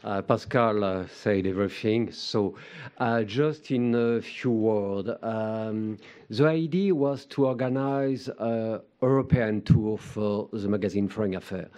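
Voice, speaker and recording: A man's voice: fairly low pitch, measured pace, darkish tone. A man from New York introducing the speakers at a conference, hesitant and full of fillers. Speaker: event organizer. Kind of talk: formal introduction.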